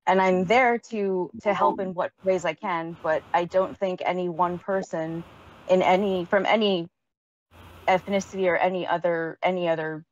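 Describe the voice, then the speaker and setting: A woman's voice: fairly low pitch, fairly even intonation, normal speed. A woman from Canada speaking on a casual panel discussion, hesitant, with many ums and restarts. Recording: dull lo-fi sound.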